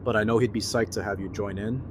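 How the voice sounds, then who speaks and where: A man's voice: lowish voice, steady tone, fast, noisy background, natural voice. A man acting an easygoing, friendly buddy with casual, slangy talk in an audio drama. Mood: friendly, upbeat. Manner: casual, slangy, laid-back.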